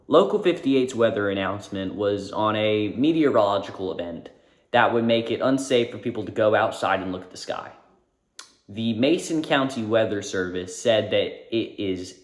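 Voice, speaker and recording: A man narrating a casual video essay, informal and correcting himself now and then. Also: lowish voice. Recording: roomy echo.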